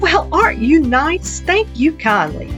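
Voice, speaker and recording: A woman's voice: high-pitched, big pitch swings, unhurried, fairly bright tone, faint music behind, pitched above the performer's own voice, full character voice. A woman voicing a kindly, folksy old henkeeper with rural turns of phrase in a short story reading. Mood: warm, pleased.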